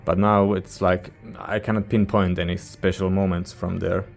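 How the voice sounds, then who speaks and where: Male reviewer, non-native English speaker: low-pitched, very even intonation, informal and halting, rambling casually. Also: gravelly, faint music behind. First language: not English. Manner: hesitant, informal, searching for words. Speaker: music reviewer, channel host.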